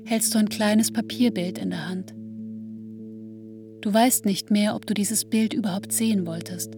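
A woman narrating a radio drama in a measured, restrained way, recounting painful memories intimately in the second person. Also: mid-range voice, close to an everyday voice. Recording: dry studio with music underneath.

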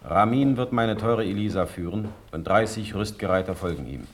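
A man's voice: on the low side, moderate pace, natural voice. An actor playing a commanding yet fatherly Prussian sovereign in a classical German radio play, with stately, declamatory speech.

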